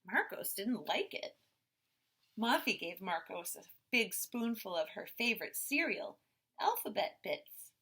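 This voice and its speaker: Woman teacher doing a kids read-aloud, slow and very expressive, slightly husky, higher voice. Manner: expressive, simple clear phrasing for children. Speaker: first grade teacher reading aloud. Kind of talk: picture book read-aloud for children.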